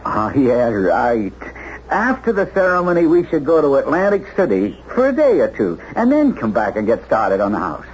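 An actor playing a hesitant, penny-pinching, practical fiancé in a 1970s radio drama. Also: male voice, medium pitch, wildly swooping pitch, moderate pace. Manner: hesitant, fussy. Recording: muffled, noisy 1970s radio recording.

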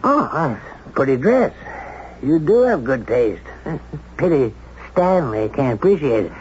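A man's voice: fairly high pitch, sing-song, unhurried, darkish tone. Brash, swaggering radio drama boss man. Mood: teasing. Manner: commanding, teasing, cynical. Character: brash, domineering high-stakes businessman, sardonic boss. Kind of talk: 1970s radio drama acting. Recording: muffled 1970s radio broadcast, some hiss.

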